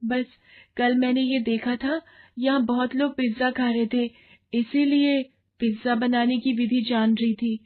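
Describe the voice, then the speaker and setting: Poor orphan girl, cartoon moral tale played by a woman: high, earnest, pleading, slightly dull sound. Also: fairly even intonation, measured pace, close to an everyday voice. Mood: sad. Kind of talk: Hindi moral cartoon story acting.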